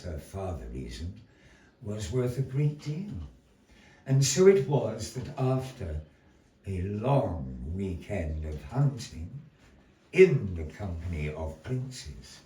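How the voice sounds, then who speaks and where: A man telling a dark fairy tale live in a florid, dramatic manner, with deliberate pauses. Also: low-pitched. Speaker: storyteller in a puppet show. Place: indoor gallery performance space. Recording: echoey room, distant mic.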